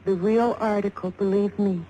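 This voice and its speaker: An actress playing a shaken but tough young American fashion model in a 1950s radio drama. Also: female voice, medium pitch, steady tone, normal speed, warm tone, natural voice. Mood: scornful. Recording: noisy vintage radio recording.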